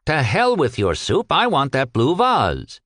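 Guy reading as a frantic ex-soldier on the phone, voice jumps up high, pleading, dry sound. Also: wildly swooping pitch, measured pace, a bit bright, no room sound, full character voice. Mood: desperate. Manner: shrill, pleading, exasperated. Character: frantic desperate young ex-soldier.

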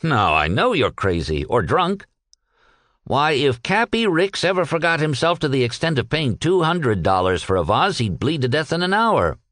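A man's voice: a little high, very animated, moderate pace, fairly bright tone, dry recording, voice pushed up. A man puts on a sea captain from a storybook, blunt and fatherly. He sounds exasperated and dismissive, with strongly varied intonation and a dry, close sound. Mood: scoffing refusal. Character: blunt, scoffing male sea captain, paternal. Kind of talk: audiobook character dialogue.

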